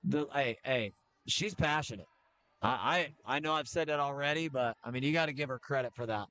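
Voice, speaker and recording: A middle-aged man doing casual play-by-play for a high school basketball game, chatty and joking, with filler pauses. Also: sharp tone, a little hiss or hum, rough recording. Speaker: play-by-play commentator.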